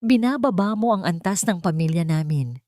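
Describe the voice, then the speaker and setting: A woman's voice: fairly low pitch, animated, normal speed, a little mellow, no room sound, studio quality, lightly acted. A woman voicing a contemptuous, domineering older mother-in-law in a drama story, haughty and scolding. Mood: scornful.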